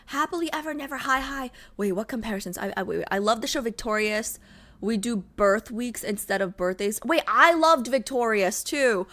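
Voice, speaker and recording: A woman's voice: a little high, normal speed, a bit bright. A young adult woman streamer chatting casually with her viewers, bubbly and full of fillers. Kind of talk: casual chat with live chat.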